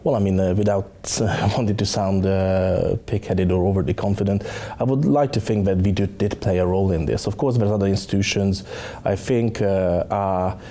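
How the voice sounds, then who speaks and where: This is a man in his thirties with a Hungarian accent, his voice very low and dark. He delivers it flatly, with little rise and fall, and hesitates often with "uh". He is recorded on a lapel mic in a studio. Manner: halting, many uh fillers. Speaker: think tank director, guest. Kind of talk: studio interview. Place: studio set with dark curtains.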